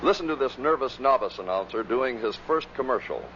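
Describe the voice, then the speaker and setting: A man's voice: medium pitch, lively intonation, fairly quick, a bit bright. A man reading scripted narration as the presenter of a compilation of radio clips. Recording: dull vintage recording with faint noise.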